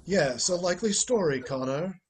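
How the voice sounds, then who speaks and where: A man playing a wry, unflappable gentleman in a radio play, dry and teasing. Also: sits high, animated, normal speed, slightly rough. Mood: firm, composed.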